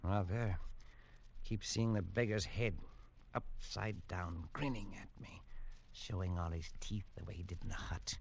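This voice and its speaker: A man's voice: deep voice, unhurried, vocal fry, a little hiss or hum. A haunted colonial Englishman. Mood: uneasy. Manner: tense, shaken, clipped. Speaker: narrator of his own tale. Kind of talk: 1940s radio acting.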